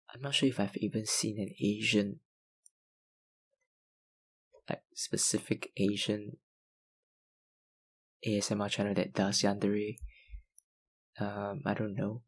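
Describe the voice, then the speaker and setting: Young male ASMR creator, low-pitched, unhurried, filler-heavy fan Q&A. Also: level tone, breathy edge. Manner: hesitant, lots of ums and likes, soft laughs. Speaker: ASMR YouTuber answering viewer questions. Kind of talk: casual Q&A chat.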